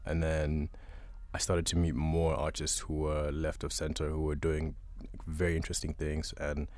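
A young adult man from Uganda, a guest musician answering interview questions in a relaxed, thoughtful way with many pauses. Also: extremely low pitch, dry recording.

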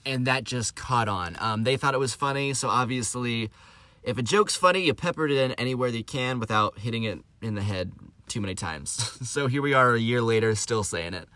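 A man's voice: medium pitch, fairly quick, bright, forceful tone, no room sound. A young man hosting his own podcast, telling stories in a rambling, self-deprecating way with lots of fillers. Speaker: podcast host. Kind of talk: casual storytelling monologue.

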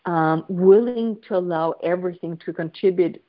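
Swedish-born woman chatting from home on a video call, playful and eager, kinda low pitched, dull sound. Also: moderate pace. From Sweden. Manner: enthusiastic, free-flowing, playful. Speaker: guest. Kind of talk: casual conversational interview. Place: at home in Sweden with her dog.

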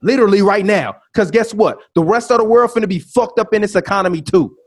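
Brief: man, 30, high-pitched, profane ranting co-host who keeps repeating himself. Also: fast talker. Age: 30s. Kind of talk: heated casual debate.

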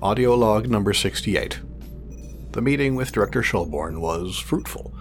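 An actor playing a meticulous male scientist in an audio drama, speaking formally and wordily, halting when flustered. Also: fairly low pitch, animated, faint music behind. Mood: measured, pleased. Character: meticulous, fussy male scientist testing his drone creation.

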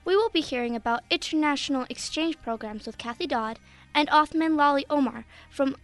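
A woman's voice: sits high, fairly quick, soft background music. A woman co-hosting a radio show, reading scripted segment introductions. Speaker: radio host.

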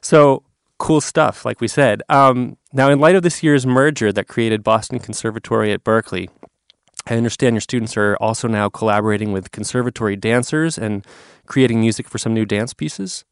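A man hosting an interview, asking long, measured questions that set up the topic. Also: medium pitch, clean tone, dry recording, studio quality. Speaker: interviewer host.

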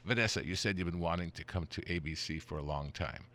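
A man's voice: sits low, fast, bright, forceful tone. An older man hosting a podcast interview, chatty and rambling, chuckling now and then and restarting his sentences. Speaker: podcast host. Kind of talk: casual interview.

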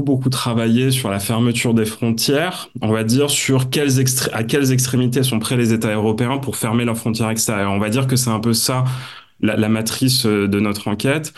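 A low-voiced man from northern France addresses a public round-table as an investigative journalist. He talks in long, searching sentences, full of fillers and restarts as he gathers his thoughts. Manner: hesitant, many fillers and restarts. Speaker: investigative journalist, panel speaker.

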